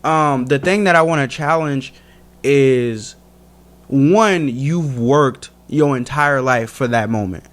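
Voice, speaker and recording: A man's voice: mid-range voice, takes it slowly, a bit bright. A man in his late twenties talking casually as a podcast guest, earnest and encouraging, with slangy phrasing. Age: late 20s. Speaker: guest, law student.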